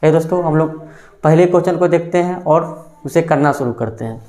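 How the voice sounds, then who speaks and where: A man's voice: on the high side, brisk, darkish tone. Man who keeps quizzing then answering himself. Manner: asks the class questions and answers them himself, repeating each answer; calls listeners friends often. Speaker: maths teacher. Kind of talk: exam-prep maths lesson.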